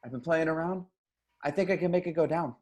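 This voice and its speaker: A man's voice: on the high side, quick pace, a little mellow, close to an everyday voice. A man playing a scrappy young stoner hero in an amateur screenplay table read, casual, slangy and wry. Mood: tense, explaining.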